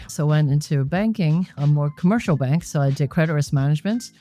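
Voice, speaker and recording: Reflective woman from Hong Kong, mellow. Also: low voice, animated, brisk, soft background music. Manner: reflective, explanatory. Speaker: guest. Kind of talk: conversational interview answers. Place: members' club lounge.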